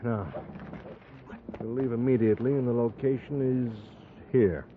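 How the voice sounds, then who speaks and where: An actor playing a stern, cautious US Army captain in a 1950s radio drama, brisk, clipped and authoritative. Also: male voice, medium pitch, steady tone, natural voice. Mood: commanding. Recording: muffled 1950s radio, faint noise.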